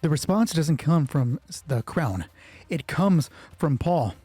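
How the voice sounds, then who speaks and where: A man's voice: fairly high pitch, lively intonation, takes it slowly, mellow, husky, no room sound, soft background music. A man narrating a solo tabletop roleplay as game master, thinking aloud in a casual, conversational way. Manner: casual, conversational, reasoning through rules aloud.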